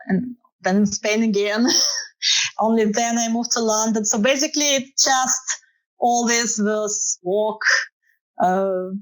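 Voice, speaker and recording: A woman's voice: medium pitch, rough recording. A woman with a Russian accent, a photographer answering interview questions casually, with many fillers and frequent laughter. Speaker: photographer guest.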